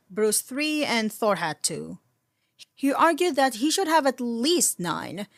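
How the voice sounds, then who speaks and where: A woman's voice: medium pitch, dry recording, studio quality. A woman reading fan fiction aloud as a narrator, in a scripted storytelling style.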